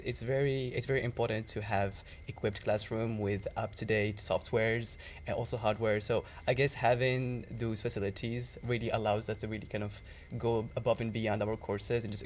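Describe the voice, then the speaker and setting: A young man from Morocco with a low voice talks about his studies in a relaxed way, hedging with fillers. Faint noise sits behind him. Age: young adult. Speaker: student guest.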